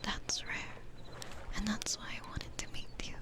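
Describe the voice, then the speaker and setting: A woman playing a gentle, patient, kindly demon florist in an ASMR roleplay, in a soft breathy whisper with a soothing, nurturing manner. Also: close to an everyday voice. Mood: tender, encouraging. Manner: slow, soothing, nurturing, unhurried.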